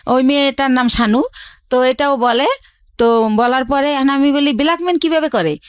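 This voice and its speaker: A woman's voice: high voice, moderate pace, clean tone, no room sound, clean studio sound. A young woman with a colloquial Bangladeshi Bengali accent tells her life story conversationally, retelling exchanges as reported dialogue line by line.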